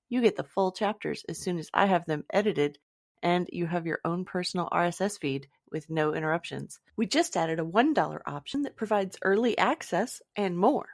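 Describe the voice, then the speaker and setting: Female podcast host, friendly direct address; lowish voice, dry sound. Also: dry recording. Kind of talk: casual sponsor announcement.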